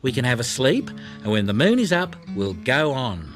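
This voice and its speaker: A man voicing a helpful, confident female wallaby with a gentle, reassuring manner in a storybook. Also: medium pitch, very animated, moderate pace, natural voice. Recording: music behind the voice.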